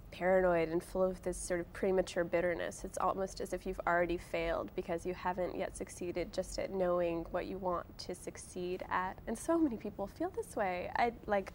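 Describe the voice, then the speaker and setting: Young female author as interview guest, conversational and loose, gravelly fry in the voice. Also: medium pitch, darkish tone, a little hiss or hum. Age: young adult. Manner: informal, hedging, peppered with "like" and "sort of".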